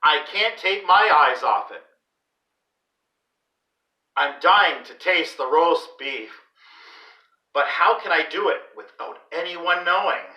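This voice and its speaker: A man reading a children's story aloud in an expressive, deliberate, dramatic way. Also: fairly high pitch. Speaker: read-aloud host for a school class. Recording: roomy echo, distant microphone.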